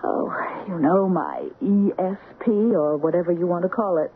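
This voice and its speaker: An actress with an American accent playing a dreamy, strong-willed wife, intense and halting in a 1970s radio drama. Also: female voice, lowish voice, lightly acted. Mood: embarrassed. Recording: tinny, noisy 1970s radio broadcast recording.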